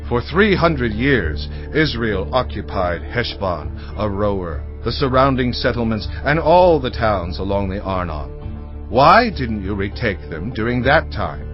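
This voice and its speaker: A man's voice: fairly low pitch, big pitch swings, measured pace. A proud, aggrieved Israelite commander in an audio Bible drama. His delivery is emphatic and rhetorical, with big melodic swings. Music plays behind the slightly dull recording. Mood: righteous, challenging. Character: Jephthah, proud aggrieved Israelite war leader, anguished father.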